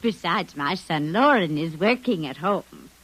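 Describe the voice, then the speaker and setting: A woman's voice: fairly low pitch, expressive, unhurried, very noisy. An actress playing a chatty, hospitable old grandmotherly lady in a 1950s radio drama. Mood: welcoming.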